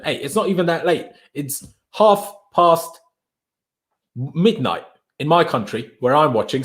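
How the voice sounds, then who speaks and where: A man from Austria with a slightly raised pitch, hosting a relaxed live stream. He talks in a loose, chatty way and answers viewer comments one after another, calling people bro.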